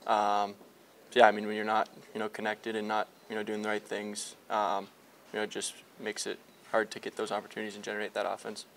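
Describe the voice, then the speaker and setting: A man's voice: lowish voice, completely flat delivery, brisk, vocal fry, a bit bright, slight background noise. A young male hockey player in a locker room after a game, answering reporters with many fillers and restarts. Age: young adult. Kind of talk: post-game media scrum. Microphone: several reporters' handheld mics held close.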